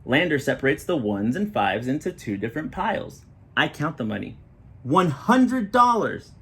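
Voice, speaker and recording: A man reading a children's picture book aloud in an expressive storytelling style, with short explanatory asides. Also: a little high, normal speed. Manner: expressive, acting out children's dialogue.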